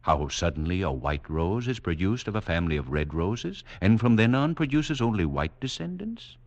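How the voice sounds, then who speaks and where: An actor playing a serenely superior mutant doctor, lecturing in a lofty, condescending 1940s radio manner. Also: male voice, low-pitched, very animated. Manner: condescending, lofty, measured, didactic.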